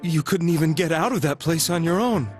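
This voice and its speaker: An actor playing an earnest, guilt-ridden delivery man in a video game, speaking in a halting, solemn, confessional way. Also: on the high side, lightly acted. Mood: remorseful. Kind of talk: dramatic video game voice acting. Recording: music behind the voice.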